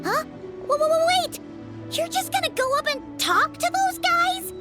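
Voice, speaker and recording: A woman voicing a tiny, excitable, childlike fairy companion in a video game, chatty and squeaky. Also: unhurried, soft background music, exaggerated voice. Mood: baffled, alarmed. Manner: exclamatory, refers to self in third person.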